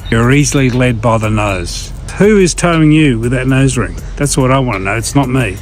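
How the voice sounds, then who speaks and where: A man's voice: mid-range voice, expressive, normal speed, a little hiss or hum. A man speaking as a father, bluntly and teasingly criticising in a casual family chat.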